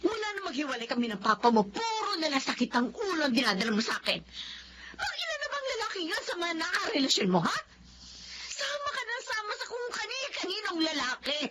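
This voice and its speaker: Shrill, high-pitched scolding mother. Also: background noise. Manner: scolding, emphatic, firing rhetorical questions. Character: angry, exhausted mother scolding her rebellious teenage daughter. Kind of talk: Filipino radio drama acting.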